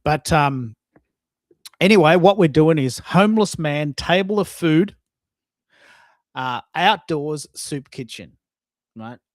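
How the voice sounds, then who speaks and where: A man with a fairly high-pitched voice hosts a casual live audio show. The sound is dry, with no room echo. He thinks aloud, stumbles and repeats phrases while speaking to his listeners. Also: lively intonation. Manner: halting, repeats himself, talks to listeners.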